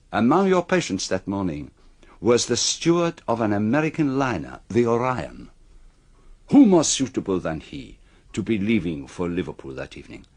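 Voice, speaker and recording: A man's voice: mid-range voice, breathy edge. An actor playing a shrewd, courteous older detective in a radio drama, speaking precisely and with formal politeness as he accuses.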